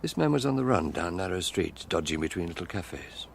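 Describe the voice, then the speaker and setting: An actor playing a seasoned, methodical police chief inspector in a radio drama, deliberate and authoritative in his questioning. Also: low voice, very animated, creaky, slight background noise, heavily acted. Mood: grave, musing.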